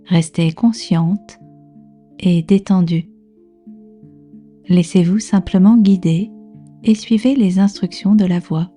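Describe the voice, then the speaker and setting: A woman with a soft, breathy voice leading a guided relaxation as a yoga nidra instructor, soothing, unhurried and gently instructing. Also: on the low side, steady tone. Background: background music.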